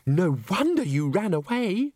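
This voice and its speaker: A man voicing a wide-eyed, naive young woman with a breathy, fascinated manner, in a storybook reading. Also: mid-range voice, wildly swooping pitch, extremely slow, no echo, clean studio sound, voice pushed up, full character voice.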